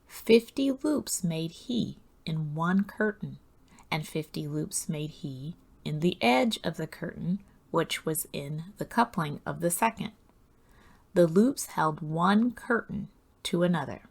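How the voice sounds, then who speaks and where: Woman, lowish pitch, very unhurried, devotional scripture narration, solemn and reverent. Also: expressive, vocal fry, breathy edge. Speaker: host reading scripture aloud. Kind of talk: devotional audiobook-style scripture reading.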